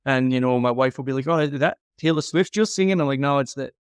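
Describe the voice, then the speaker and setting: A man's voice: medium pitch, fast, clear, resonant tone, dry recording, clean studio sound. A man giving frank, emphatic advice in a conversational critique, like an audio consultant reviewing someone's work.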